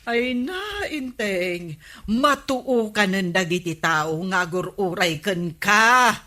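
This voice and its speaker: A woman's voice: medium pitch, lively intonation, slow, sharp tone, heavily acted. A woman playing an exasperated, nagging Filipino village wife in a comedy radio drama.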